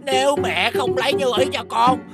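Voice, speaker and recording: A woman voicing a spoiled, lovesick rich young man with a petulant manner in an animated fairy tale. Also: high voice, normal speed, over music, lightly acted. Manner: petulant, self-satisfied.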